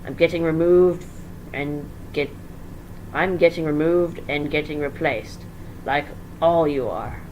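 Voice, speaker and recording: Casting: weary male boss voiced by a narrator, distraught, halting, emotional, middle pitch with slight background noise. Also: darkish tone, lightly acted, poor audio.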